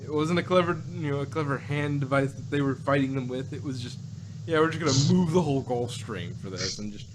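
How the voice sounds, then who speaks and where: A man chatting casually as a podcast host reviewing audio, in a rambling, halting way that often trails off. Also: medium pitch. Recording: very noisy background hum.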